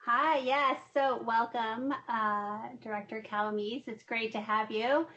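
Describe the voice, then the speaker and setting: Slightly high woman commissioner, welcoming. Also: steady tone, unhurried, sharp tone. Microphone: video call. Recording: dull lo-fi video call audio.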